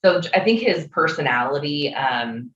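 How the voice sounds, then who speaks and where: A woman's voice: low-pitched, lively intonation, moderate pace, fairly bright tone, highs cut off. Giggly Midwestern woman, chatty podcast guest, roomy sound. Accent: Midwestern American. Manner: giggly, lots of "like" fillers. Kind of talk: casual chat about dating.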